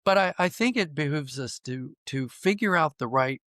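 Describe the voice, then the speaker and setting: A middle-aged or older American man explaining ideas in a conversational interview, thoughtful and halting, often restarting his phrases. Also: on the high side, lively intonation, fairly bright tone, dry recording, clean studio sound. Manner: thoughtful, halting with repeated false starts. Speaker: podcast guest, writer and dramatist.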